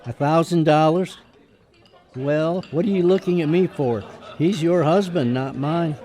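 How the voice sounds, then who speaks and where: A man's voice: a little high, unhurried, dark tone, lightly acted. A man playing a glum, hesitant lawyer's son in a radio drama. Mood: uneasy. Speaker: amateur radio drama actor.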